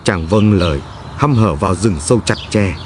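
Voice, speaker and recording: A man's voice: low voice, expressive, normal speed, a little hiss or hum. A man narrating a scripted fairy tale as a storyteller for a cartoon. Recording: music behind the voice. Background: background music.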